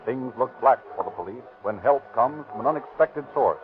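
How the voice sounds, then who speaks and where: A man's voice: medium pitch, expressive, moderate pace. A man giving dramatic scripted narration in the style of a 1930s radio crime show. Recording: tinny 1930s radio recording, faint music. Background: faint music.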